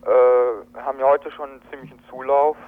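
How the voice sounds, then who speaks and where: A man giving a spontaneous, halting report over a telephone line to a radio programme. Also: mid-range voice, steady tone. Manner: hesitant, halting. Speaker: activist giving a phone report. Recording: tinny narrow-band phone line on radio.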